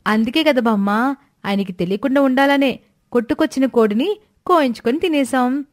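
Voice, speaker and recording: A woman's voice: sits high, moderate pace, natural voice. A woman voicing a cheeky, scheming young village woman in a moral storybook tale, bold, coaxing and insistent.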